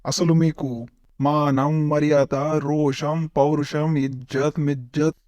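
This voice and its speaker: A man's voice: on the high side, little pitch movement, slow, a little mellow, no room sound. A man voicing a scheming royal servant in a cartoon parody, blunt and matter-of-fact. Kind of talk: animated parody comedy voice acting.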